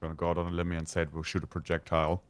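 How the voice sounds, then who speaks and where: A man's voice: sits low, monotone, very fast, no room sound. A man on voice chat making dry, jokey remarks while watching a friend play. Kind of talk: casual voice chat.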